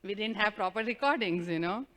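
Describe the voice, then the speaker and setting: A woman telling a devotional group a personal story, animated and self-mocking, with a loud voice and frequent laughter. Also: mid-range voice, brisk. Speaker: devotional speaker. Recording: reverberant hall.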